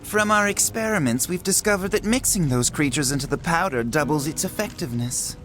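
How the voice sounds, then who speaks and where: An actor playing a self-righteous, demanding noble ruler in a video game, speaking in a pompous, measured way. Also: male voice, fairly high pitch, animated. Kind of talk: scripted video game cutscene acting. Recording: loud background music.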